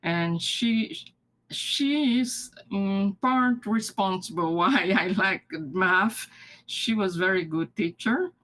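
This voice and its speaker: An older woman whose first language is Japanese, from Brazil, recalling her childhood in an interview, halting and often restarting with soft laughs. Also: medium pitch. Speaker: interviewee, retired mathematics professor. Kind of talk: recorded life-story interview.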